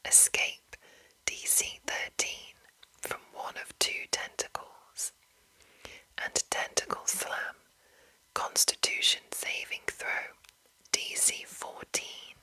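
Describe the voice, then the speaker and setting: A woman whispering an ASMR reading of a game manual in a breathy, unvoiced voice, deliberate, with pauses between short phrases. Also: bright, forceful tone, low-quality recording. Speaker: ASMR channel host.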